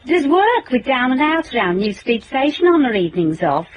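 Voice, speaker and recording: A woman's voice: high voice, big pitch swings, normal speed, muffled recording, a little hiss or hum. An actress playing a wary, defensive landlady in a radio drama, indignant about her respectability. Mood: guarded, indignant. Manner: suspicious, indignant, guarded.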